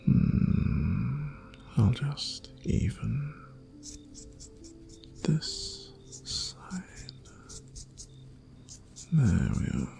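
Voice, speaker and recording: A man voicing a kindly, fatherly wolf farmer in a soft-spoken, whispered ASMR roleplay, soothing and unhurried as he murmurs. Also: medium pitch, very animated, gravelly, soft background music, exaggerated voice. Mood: gentle, focused. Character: kindly dad-bod wolf farmer, gentle, fatherly caretaker. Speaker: ASMR performer.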